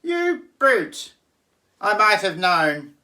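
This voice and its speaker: A man's voice: sits high, sing-song, drawn-out words, pushed and bright, pitched above the performer's own voice, exaggerated voice. A man voicing a frightened little storybook pig who pleads and exclaims. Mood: outraged. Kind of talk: storybook character reading.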